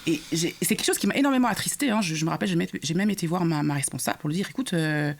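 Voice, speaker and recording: A young woman speaks in a relaxed podcast conversation. She tells her story with animation, stumbling over restarts and fillers as she goes. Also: on the low side, racing, gravelly. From Congo. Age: young adult. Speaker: guest sharing her experience.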